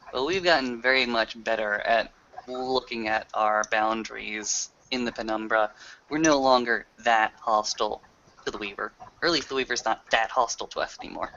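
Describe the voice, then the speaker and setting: A man's voice: mid-range voice, steady tone, moderate pace, sharp tone. A man with a casual, colloquial, sweary manner, roleplaying in character and narrating a tabletop game. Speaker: game master. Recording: muffled audio with noticeable background hiss.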